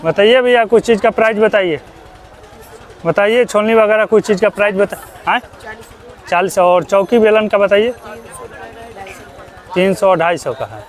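A young man from Bihar narrating a walking vlog at an outdoor crafts fair on a lapel mic, repeating himself and loudly asking vendors for prices. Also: high-pitched, moderate pace, pauses often. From Bihar, India. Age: young adult. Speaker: vlogger. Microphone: clip-on lapel mic.

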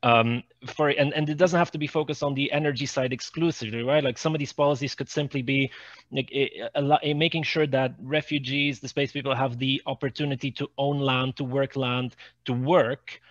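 A man with a middling pitch discusses policy as a panelist, repeating words as he assembles each point. The video-call sound is dry and a bit dull. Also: steady tone, fairly quick, a bit bright, no echo.

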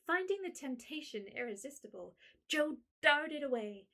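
A woman narrating a classic story in a measured, gentle manner. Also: a little high.

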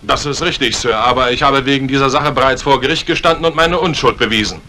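A man's voice: mid-range voice, quick pace, bright, forceful tone, close to an everyday voice. An actor playing a polite, defensive butler protesting his innocence in a 1950s German radio crime drama. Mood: earnest, defensive. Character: deferential male butler, earnest suspect protesting innocence. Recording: old radio play recording, faint hiss.

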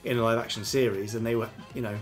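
A man's voice: mid-range voice, steady tone, quick pace, faint music behind. A man hosting a podcast in casual chat, joking and laughing often. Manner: chatty, jokey, laughs often. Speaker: podcast host.

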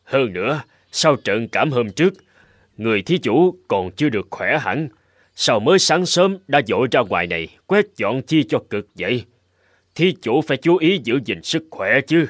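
A man voicing a kindly, upright Buddhist monk with a formal, earnest, courteous manner in an animated folk tale. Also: mid-range voice, normal speed, no echo, studio quality. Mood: caring.

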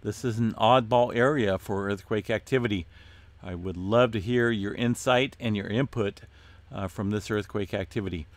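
A man hosting an earthquake update, talking casually through his screen with many fillers and a voice going hoarse. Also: on the low side, normal speed, dry recording. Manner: relaxed, many "uh" fillers, addresses viewers as folks. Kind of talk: casual screen-share commentary.

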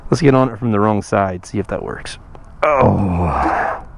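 A man chatting casually while riding his motorcycle, his voice a bit rough from recovering after losing it. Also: fairly low pitch, expressive, a little slow. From Texas. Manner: chatty, self-joking, sighs and laughs. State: recovering from lost voice. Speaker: motovlogger. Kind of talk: casual motorcycle vlog chat. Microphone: helmet-mounted action camera mic. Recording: outdoor wind and road noise.

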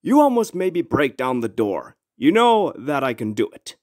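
A woman voicing gruff, theatrical male comic characters, bossy and easily exasperated. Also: rumbling low, sing-song, normal speed, a little mellow, exaggerated voice. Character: gruff, exasperated father; swaggering teenage bully boy. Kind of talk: comic fandub acting.

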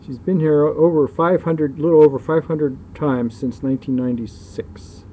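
A man giving casual, off-the-cuff video commentary in a matter-of-fact way, often pausing between phrases. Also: on the high side, fairly even intonation. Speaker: local shipping news publisher filming ships. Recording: muffled dark sound, clear background noise.

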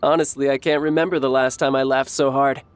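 An actor playing a playful young commander and schemer in a video game, with a teasing, smug manner. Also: male voice, medium pitch, flat delivery, a little fast, a little hiss or hum, lightly acted. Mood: smug, amused.

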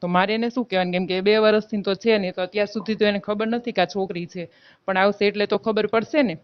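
A woman's voice: mid-range voice, steady tone, fast, clear, resonant tone, close to an everyday voice. A woman playing an aggrieved married village woman in a phone-call drama, with a Gujarati accent, insistently accusing and threatening her lover. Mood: reproachful. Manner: insistent, reproachful, argumentative. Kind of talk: staged phone-call drama in colloquial speech. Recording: dull, lo-fi phone call recording.

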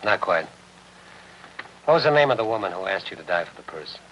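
An American actor playing a world-weary newspaper reporter in a 1950s crime drama, speaking in terse, clipped phrases. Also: male voice, low voice, animated, fairly quick, a little hiss or hum, low-quality recording. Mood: insistent. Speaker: lead actor. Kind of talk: 1950s crime drama acting, hard-boiled narration. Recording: 1950s TV film soundtrack, loud music.

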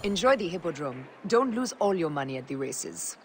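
A man's voice: on the high side, very animated, normal speed, vocal fry, a little hiss or hum. An actor playing a composed, knowing male informant in an ancient Egypt video game, giving instructions. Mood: assured.

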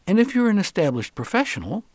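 Lively male radio host, dry studio sound. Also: high-pitched, fast talker, no room sound. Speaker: radio talk show host. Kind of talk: conversational radio hosting.

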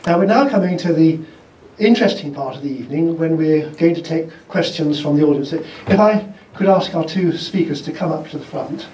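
A man's voice: a little high, brisk, warm tone, far from the mic, a little hiss or hum. A man chairing a lecture and inviting audience questions, gracious and encouraging. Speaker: event host and chair. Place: large lecture theatre. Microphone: handheld mic. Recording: roomy hall recorded from afar.

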